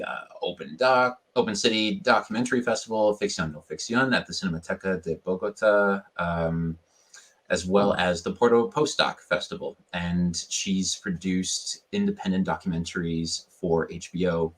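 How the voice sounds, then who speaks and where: Male host, very deep voice, live stream on a video call; polished, effusive, formal. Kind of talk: live stream interview hosting.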